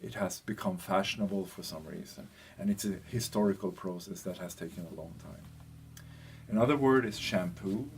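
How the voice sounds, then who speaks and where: A European man giving an informal explanatory lecture as a teacher, speaking in halting phrases with pauses and a husky voice. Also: on the low side, a little mellow, a little hiss or hum.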